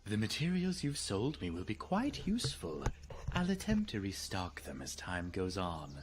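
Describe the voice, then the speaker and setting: A man voicing a polite, sly creature merchant in a video game, speaking in a soft, courtly way. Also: on the low side, wildly swooping pitch, moderate pace, background noise. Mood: courteous. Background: game music.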